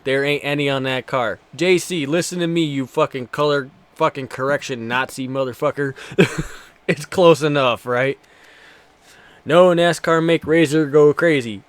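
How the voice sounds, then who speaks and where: Foul-mouthed young man streaming a game. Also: on the high side. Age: twenties. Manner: foul-mouthed, jokey banter with viewers. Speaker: streamer playing a racing game. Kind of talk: casual live gaming commentary.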